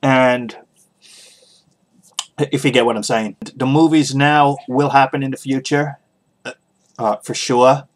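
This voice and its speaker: A man hosting a podcast, giving casual solo opinions in a halting way, pausing often as he thinks aloud. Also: medium pitch, steady tone. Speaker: podcast host.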